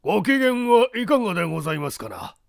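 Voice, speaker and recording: A man voicing a sly, very polite old man with a husky voice in a storybook reading. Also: high-pitched, very animated, no echo, clean studio sound, voice pushed up, full character voice. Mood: politely teasing. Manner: exaggeratedly polite, unhurried, teasing. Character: hoarse old man phone caller, polite, sly thief.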